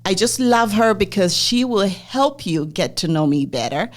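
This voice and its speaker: A woman's voice: mid-range voice, expressive, moderate pace. A woman in her forties from Zambia, hosting a podcast and telling her own life story in an open, reflective way. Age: 40s. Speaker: podcast host. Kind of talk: personal interview conversation.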